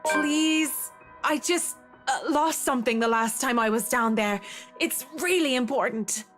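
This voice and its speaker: An earnest young woman, the grieving heroine of a visual novel. Her voice is on the high side, with music playing softly behind it. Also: a little slow. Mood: earnest pleading.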